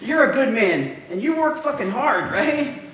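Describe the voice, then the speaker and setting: An actor playing a smooth-talking male tempter who coaxes a friend, speaking and singing in a staged musical. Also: very high voice, big pitch swings, a little slow, a bit bright, a little hiss or hum, full character voice. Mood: coaxing. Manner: coaxing, persuasive, sings. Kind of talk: musical theatre singing and dialogue. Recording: roomy live stage with music.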